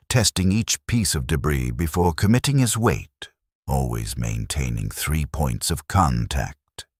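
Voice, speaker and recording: A man narrating a scripted first-person war story in a grave, measured way, in short clipped sentences. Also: very deep voice, no room sound, clean studio sound.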